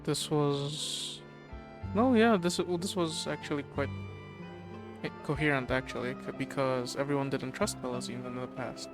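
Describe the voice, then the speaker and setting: A man's voice: mid-range voice, steady tone, normal speed. A man casually commenting as he plays through a game story, with short reactive asides. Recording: game music loud behind the voice.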